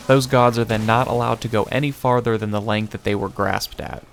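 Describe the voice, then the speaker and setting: A man's voice: mid-range voice, fairly even intonation, a little fast, gravelly, soft background music. A man giving matter-of-fact, instructional narration for a gaming video, reading from a script.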